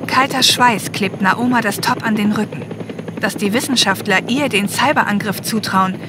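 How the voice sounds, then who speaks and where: A woman's voice: medium pitch, moderate pace. A woman reading scripted story narration as the narrator of an audio drama. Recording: clear helicopter and effects noise behind. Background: ambience, helicopter, vehicles.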